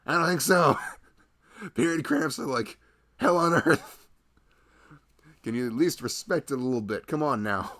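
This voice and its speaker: A man playing a loving, protective husband in an intimate ASMR roleplay, soft-spoken and chatting in a rambling, casual way. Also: fairly high pitch, animated, measured pace, pauses often. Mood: amused, indignant.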